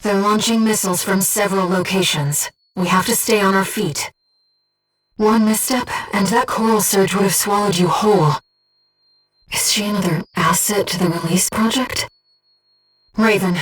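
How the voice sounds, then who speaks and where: A woman playing a calm, devoted disembodied companion who guides a pilot in a video game, speaking in a measured, earnest, gently formal way. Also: medium pitch, recorded from a distance, soft background music, lightly acted, poor audio. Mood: urgent alert. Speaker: mission support companion. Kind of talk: scripted video game voice acting.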